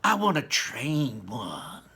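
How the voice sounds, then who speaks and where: A man's voice: mid-range voice, huge pitch swings, takes it slowly, pushed and bright, husky, exaggerated voice. A man voicing a spoiled, demanding little girl in a whiny, bratty way while reading a storybook aloud. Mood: shouting.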